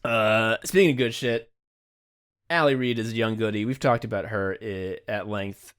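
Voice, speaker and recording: A man's voice: lowish voice, normal speed, fairly bright tone, clear, resonant tone. A man hosting a podcast, chatting casually and effusively, with plenty of swearing. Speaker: podcast host. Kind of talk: casual behind-the-scenes podcast chat.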